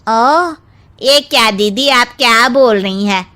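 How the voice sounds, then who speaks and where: Woman playing a bright, high-voiced, wistful cartoon housemaid. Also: slow pace. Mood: gushing admiration. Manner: gushing, plaintive. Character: wistful, flattering housemaid who longs to be beautiful. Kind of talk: cartoon family story acting.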